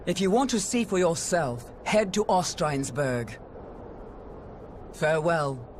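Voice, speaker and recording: A woman playing a proud, sardonic swordswoman in a video game, with a cool, mocking and deliberate delivery. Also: lowish voice, animated, slight background noise. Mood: resigned, terse. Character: haughty, sardonic female swordswoman, proud and wary. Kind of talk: dramatic video game character acting.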